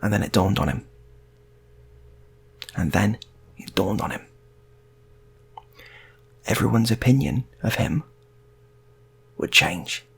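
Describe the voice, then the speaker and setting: Male storyteller for improvised tales: low, gravelly, breathy-husky, halting and repetitive, casual delivery. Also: animated, fairly bright tone.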